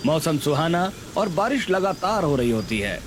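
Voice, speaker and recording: A man's voice: fairly high pitch, very animated, moderate pace, a little hiss or hum. A man reading scripted narration for a Hindi cartoon story.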